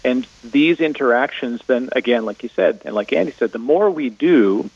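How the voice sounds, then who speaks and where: A man's voice: medium pitch, lively intonation, normal speed, darkish tone, husky, highs cut off. Canadian man, informal radio panel guest. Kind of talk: casual tech discussion.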